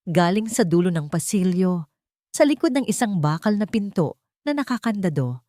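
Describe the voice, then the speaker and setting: A woman's voice: fairly low pitch, big pitch swings, normal speed, mellow, dry recording, clean studio sound. A woman reading a scripted, dramatic audio story as a storyteller.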